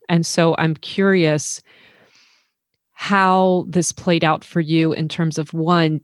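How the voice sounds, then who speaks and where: A woman hosting a podcast, asking thoughtful, measured questions and pausing to reflect. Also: low voice, no room sound, clean studio sound. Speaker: podcast host. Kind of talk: interview questions.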